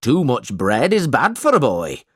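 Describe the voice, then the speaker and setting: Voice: mid-range voice, wildly swooping pitch, a little slow, fairly bright tone, slightly rough, no room sound, clean studio sound, heavily acted. A storybook reader voicing a stingy, blustering middle-aged male schoolmaster who talks in a bossy, falsely generous way.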